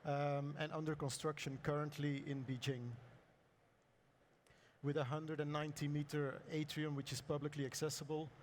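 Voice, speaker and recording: Hoarse man giving a hesitant talk. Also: on the high side, level tone, moderate pace, a little hiss or hum. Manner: hesitant, many ums and uhs, clears throat. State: hoarse, lost voice. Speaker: architect presenting. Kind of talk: conference presentation. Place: conference hall.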